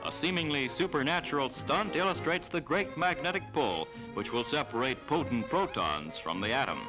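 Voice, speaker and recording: A man's voice: mid-range voice, lively intonation, normal speed, low-quality recording. An American man reading scripted narration in the style of a 1940s newsreel. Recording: vintage 1940s newsreel soundtrack with music. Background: background music.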